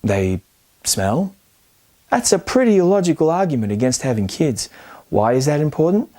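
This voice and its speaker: A man's voice: on the high side, big pitch swings, normal speed, dark tone. A man hosting a scripted film video essay, speaking in an emphatic, playfully argumentative and colloquial way. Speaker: film commentary host.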